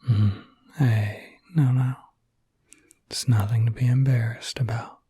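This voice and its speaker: A gentle, reassuring werewolf acted by a man looks after the listener in an intimate ASMR roleplay. His voice is a very breathy, soft whisper at a medium pitch. He speaks slowly and haltingly, with an easygoing, soothing manner. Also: fairly even intonation, no echo, clean studio sound.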